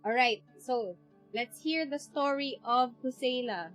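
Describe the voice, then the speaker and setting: A woman's voice: high-pitched, unhurried, faint music behind, poor audio. A woman with a Filipino accent casually chatting and reacting aloud while streaming a game. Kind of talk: casual live commentary while gaming.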